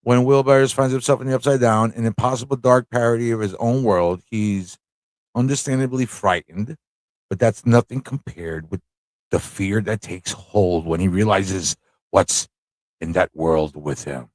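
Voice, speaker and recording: A man's voice: lowish voice, moderate pace, darkish tone, no echo. A man hosting a casual live stream, thinking aloud in an easygoing, informal way as he chats, reads aloud and reacts.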